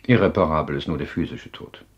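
A man playing a self-assured psychiatrist in a radio drama, explaining in a measured, courteous, husky lecture. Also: a bass voice, dull audio. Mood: assured, explanatory. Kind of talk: German radio drama acting.

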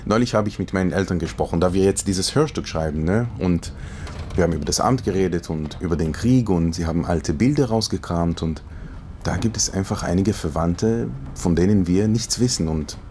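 Low-voiced, hesitant middle-aged man. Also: brisk, a little mellow, a little hiss or hum. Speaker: radio producer searching for relatives. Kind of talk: conversational documentary dialogue.

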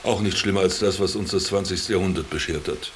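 A man's voice: sits low, steady tone, brisk, slight background noise, natural voice. An actor playing a world-weary exiled man in a radio drama, dryly ironic and formal, looking after his companion. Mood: dry, sardonic. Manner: dry, ironic, formal address. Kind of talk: German radio drama dialogue.

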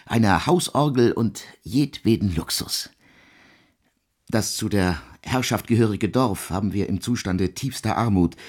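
An actor playing a cultivated composer recounting his travels in a literary radio drama, in a measured, emphatic and formal manner. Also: fairly low pitch, slightly rough.

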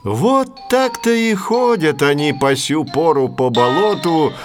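A male storyteller narrates a children's fairy tale at an unhurried pace. His voice sits a little high and moves widely and expressively in pitch. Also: lively intonation, soft background music.

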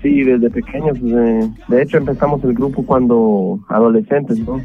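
Guatemalan-born musician guest, relaxed chatty delivery, heard over a phone line. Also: male voice, medium pitch, normal speed, soft background music. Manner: relaxed, conversational, many fillers. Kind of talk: radio interview.

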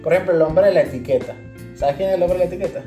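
Casual podcast co-host: Venezuelan woman, soft dark tone, roomy echoing sound with faint music underneath. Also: lowish voice, very fast.

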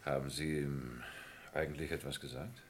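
An actor playing a concerned, protective gentleman in a vintage radio crime drama, speaking earnestly and emphatically. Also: very deep voice, steady tone, normal speed. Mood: reassuring, concerned. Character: protective, concerned gentleman trying to reassure others.

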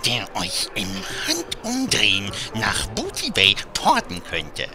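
A man playing a shifty, cowardly goblin inventor in a fantasy parody radio play, halting and drawn-out, with sly chuckles. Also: medium pitch, huge pitch swings, vocal fry, over music, exaggerated voice. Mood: sly, ingratiating.

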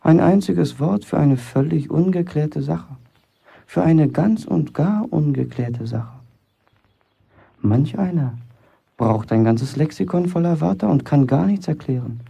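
A cheeky teenage apprentice in a radio drama. His voice is fairly high and mellow with a gravelly edge, and it swings animatedly as he needles and boasts in everyday slang. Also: expressive, measured pace, full character voice. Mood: boastful. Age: young adult. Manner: cocky, needling, colloquial. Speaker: actor in a radio play. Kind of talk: naturalistic radio drama dialogue.

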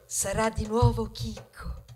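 An actress playing a proud, tender female corn cob in a poetic theatrical monologue, savouring her words with dramatic pauses. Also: fairly high pitch, flat delivery, dark tone. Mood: proud, wistful. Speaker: stage actress. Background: music.

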